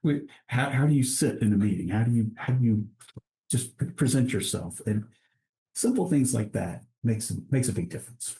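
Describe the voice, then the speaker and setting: A man, a guest on an interview podcast, talking in a relaxed way with frequent restarts and easy laughs. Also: mid-range voice, normal speed, poor audio. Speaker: guest, international trade director. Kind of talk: casual interview conversation.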